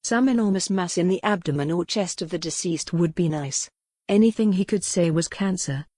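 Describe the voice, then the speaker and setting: A woman narrating a novel in an even, measured storytelling manner, recorded close to a studio mic. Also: lowish voice, no echo. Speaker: audiobook narrator.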